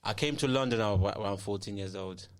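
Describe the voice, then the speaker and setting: Low-voiced man, halting and dry. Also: clean tone, no echo. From Nigeria. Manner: hesitant, many fillers and restarts. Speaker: musician guest. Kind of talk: casual interview.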